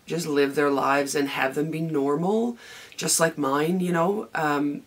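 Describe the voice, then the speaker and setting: A woman in her forties with a hoarse, raspy voice, chatting casually in her home vlog as a knitting podcaster, rambling with many ums and restarts. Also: deep voice. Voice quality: gravelly. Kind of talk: casual daily vlog chat. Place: home craft room with shelves, indoors.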